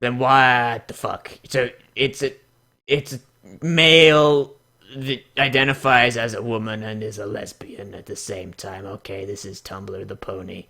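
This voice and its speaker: A man hosting casual, mocking commentary and dramatic readings, crude, exclamatory and quick to swear. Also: medium pitch, little pitch movement, vocal fry, pushed and bright. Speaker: host reading fan characters aloud.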